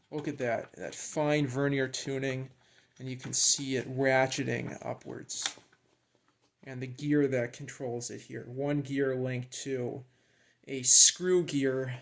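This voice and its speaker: A man casually narrating as he works with his hands, in a creaky voice, absorbed and admiring, dropping murmured asides. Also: mid-range voice. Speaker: hobbyist demonstrating a radio rebuild.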